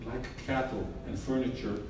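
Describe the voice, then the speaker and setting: A man's voice: mid-range voice, steady tone, moderate pace. Middle aged guy from israel doing a chatty photo talk, lots of ums, dry jokes, kinda far from the mic. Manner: casual storytelling with frequent fillers and wry humor. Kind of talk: artist talk about his photographs. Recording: echoey room, mic far off, noisy.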